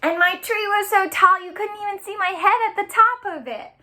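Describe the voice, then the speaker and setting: A woman's voice: extremely high pitch, normal speed, bright, forceful tone. A woman hosting a children's storytime, reading aloud in an exaggerated, sing-song way for young listeners. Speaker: children's librarian, storytime host. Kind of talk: children's storytime, read-aloud and singing along.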